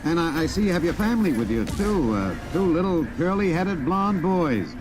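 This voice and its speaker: An actor playing an excitable radio announcer reporting live on a parade, with formal showman's address, in an old-time broadcast style. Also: fairly high pitch, moderate pace, close to an everyday voice, rough recording. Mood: genial, curious. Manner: hesitant filler words, formal showman's address. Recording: old radio broadcast, music and noise behind. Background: music.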